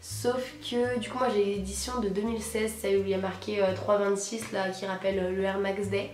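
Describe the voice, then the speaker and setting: A young woman, hoarse from a cold, chatting casually and slangily to camera as an enthusiastic vlogger. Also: mid-range voice, little pitch movement, a little fast, darkish tone. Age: teens to young adult, high school student. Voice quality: hoarse, husky. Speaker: sneaker vlogger presenting purchases. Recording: roomy echo, music behind.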